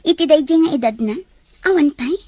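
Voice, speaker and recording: A woman's voice: high voice, fairly quick, mellow, dry recording, close to an everyday voice. A woman playing a curious, teasing friend in a domestic drama, with a questioning manner. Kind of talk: Ilocano radio-style drama acting.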